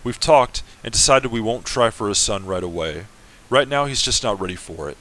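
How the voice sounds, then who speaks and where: A man's voice: on the low side, animated, normal speed, bright, forceful tone. A man reading a letter from an earnest fifteen-year-old bride to her mother. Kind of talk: semi-dramatic reading of a game.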